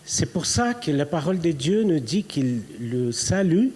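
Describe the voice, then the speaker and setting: Brazilian man preaching slowly in short bursts. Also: on the high side, very animated, darkish tone, slightly rough. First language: Portuguese. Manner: speaks in short phrases with pauses for the interpreter; playful, reads tongue twisters aloud. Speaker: guest preacher. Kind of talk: sermon.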